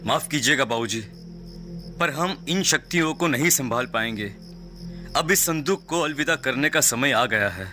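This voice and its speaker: Man acting an anxious, bright-voiced protective father. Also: mid-range voice, animated, moderate pace, soft background music. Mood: resolute. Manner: earnest, dramatic. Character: anxious, protective middle-aged father guarding a secret. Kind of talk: animated horror story dialogue.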